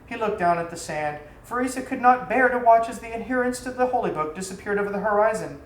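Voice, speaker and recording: A woman reading a literary story aloud as a storyteller, with dramatic, deliberate phrasing. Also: medium pitch, animated. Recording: echoey room, faint background noise.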